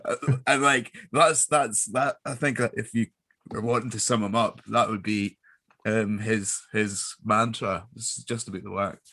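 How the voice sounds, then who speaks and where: A young Scottish man chats as a podcast guest. His voice is fairly low but bright and punchy, in a very dry recording. He speaks informally and haltingly, with a joking streak. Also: steady tone, slightly rough, no room sound. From Scotland. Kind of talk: casual chat.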